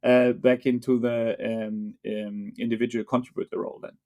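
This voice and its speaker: Halting podcast host, many fillers. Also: male voice, medium pitch, flat delivery, muffled recording. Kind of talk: casual interview chat.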